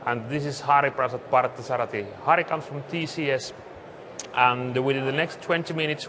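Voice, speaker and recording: A man from Finland with a Finnish accent gives a business presentation as a conference speaker. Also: mid-range voice. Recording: clearly noisy live room recording.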